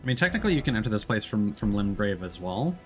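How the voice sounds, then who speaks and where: A man's voice: on the low side, big pitch swings, quick pace, faint music behind. A man giving casual, explanatory live commentary as he streams a video game, in a conversational way. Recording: loud game noise behind voice. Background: video game sound.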